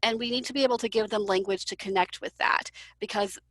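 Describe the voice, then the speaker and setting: A woman who teaches visually impaired children talks through her approach, clear and explanatory, at an ordinary pitch. Her voice is dry with no room echo, as on a video call. She sometimes acts out example phrases to show what she means. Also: fairly even intonation, fast talker, a bit bright. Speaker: educator for visually impaired students. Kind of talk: conversational webinar discussion.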